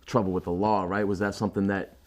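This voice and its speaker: A man's voice: low-pitched, flat delivery, quick pace. A man hosting a podcast, asking questions in a casual conversational way. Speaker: podcast host.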